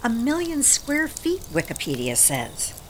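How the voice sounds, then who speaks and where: Older American working woman, blunt, salty, expressive melody, audio drama, light ambient noise. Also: fairly high pitch, unhurried. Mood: matter-of-fact. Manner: salty, plainspoken, folksy. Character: blunt, aging warehouse worker living in a van. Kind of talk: naturalistic audio drama acting.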